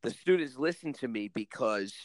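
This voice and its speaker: A man's voice: medium pitch, lively intonation, moderate pace, no room sound, low-quality recording. Man, commanding voice, earnest rambling podcast talk, dry close sound. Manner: rambling, earnest, many restarts. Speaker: podcast co-host, school teacher. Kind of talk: casual podcast chat.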